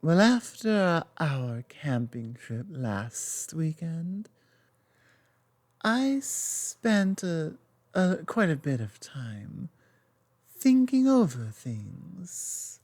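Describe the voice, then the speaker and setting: A woman playing a loving, softly dominant lamia girlfriend in an intimate ASMR roleplay, tender and earnest, with halting delivery and a sibilant lisp. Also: low voice, sing-song, no echo, heavily acted.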